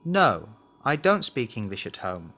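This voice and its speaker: A man's voice: medium pitch, sing-song, measured pace, bright, forceful tone. A man reading a scripted language lesson, carefully enunciating model words and sentences. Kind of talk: scripted language drill, read aloud.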